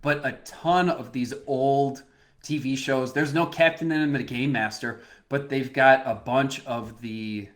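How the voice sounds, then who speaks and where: A man in his thirties co-hosting a podcast in casual chat, animated and quick to laugh. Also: medium pitch. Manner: animated, quick to laugh, does little quoted voices. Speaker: podcast co-host.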